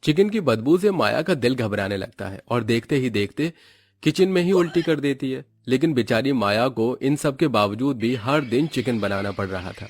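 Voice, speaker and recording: A man's voice: medium pitch, lively intonation, normal speed, dry recording. A man with an Indian accent giving scripted narration for a cartoon story.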